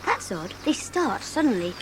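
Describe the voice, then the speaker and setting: A woman's voice: mid-range voice, very animated, moderate pace, natural voice. A woman playing a reluctant but curious schoolboy in a dramatised audiobook, with old-fashioned, questioning speech. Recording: clear background ambience noise.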